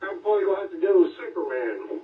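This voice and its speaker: A man's voice: high voice, lively intonation, fast. A man chatting casually as a caller on a ham radio net, telling stories in a joking, laid-back way over a radio transmission. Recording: phone-like radio link, roomy, distant.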